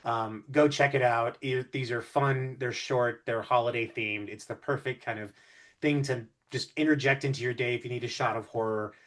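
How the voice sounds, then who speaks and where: A man's voice: mid-range voice, fairly even intonation, normal speed, fairly bright tone. A man hosting an interview conversationally, talking in long, complimentary sentences. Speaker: interview host.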